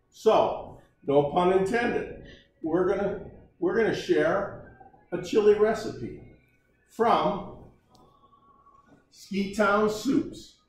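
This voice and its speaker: An older man hosting a casual home cooking show, joking and chatting as he cooks in a large kitchen, recorded by a camera at a distance. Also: sits high. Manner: folksy, jokey, chatty while cooking. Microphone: camera mic at a distance. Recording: echoey kitchen, voice from off-mic.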